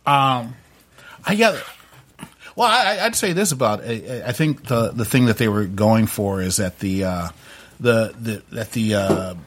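A man co-hosting a casual podcast chat, telling stories in a halting, stammering way with many repeats. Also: fairly low pitch, expressive, fairly bright tone. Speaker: podcast co-host.

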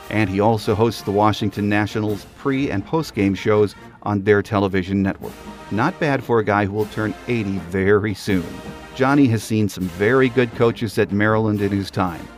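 A man with a fairly low voice presents a sports podcast from a script. A little music plays behind him as he narrates.

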